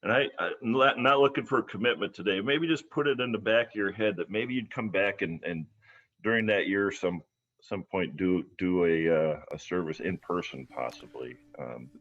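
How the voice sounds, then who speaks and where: A man's voice: deep voice, moderate pace, a bit bright, highs cut off. An American man leading an online church service informally, tentative and repeating words, heard through video call audio.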